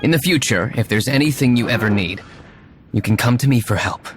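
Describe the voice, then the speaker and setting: A man's voice: medium pitch, a little fast, background noise, lightly acted. A man voicing a stern, aloof young tavern owner in a video game, speaking in a curt, dry, formal way. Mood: softened, formal.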